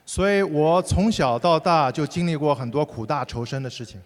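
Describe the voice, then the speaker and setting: A man interpreting a sermon consecutively, rendering each phrase fluently and briskly. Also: fairly high pitch. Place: large church hall. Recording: hall reverb.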